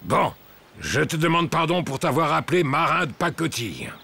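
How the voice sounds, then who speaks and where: A man's voice: fairly high pitch, sing-song, normal speed, gravelly, sharp tone, breathy edge, exaggerated voice. An actor playing a boastful, bossy old grandpa pig who captains his boat in a cartoon, with a pompous, teasing manner. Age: older. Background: cartoon music.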